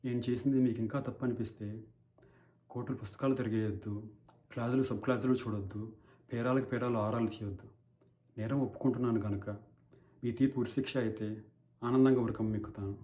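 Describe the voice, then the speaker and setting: Man acting a mellow-voiced, righteous courtroom crusader. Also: mid-range voice, steady tone, brisk, long pauses, lightly acted. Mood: defiant. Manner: impassioned, declamatory, rhetorical. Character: righteous, anguished male crusader against bribery addressing a judge. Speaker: actor performing a film courtroom monologue. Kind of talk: dramatic theatrical courtroom monologue.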